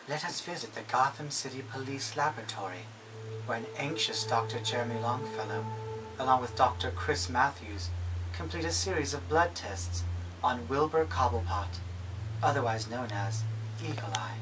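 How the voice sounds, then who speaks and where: A man's voice: medium pitch, normal speed, background noise. A man reading dramatic scripted narration as the narrator of an amateur audio drama. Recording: distant mic with music behind.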